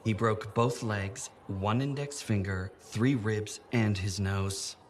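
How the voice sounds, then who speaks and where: Earnest fussy safety guy, deadpan n grave, lower voice, game dialogue. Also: slow, slight background noise. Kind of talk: video game dialogue acting.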